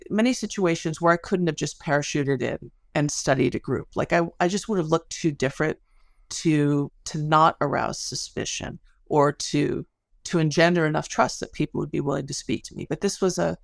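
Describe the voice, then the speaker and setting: A woman with a noticeably creaky voice talks as an interview guest. She explains her research like a storyteller, with casual asides and colorful phrasing. The sound is dry, with no room around it. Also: sits low, moderate pace, dry recording.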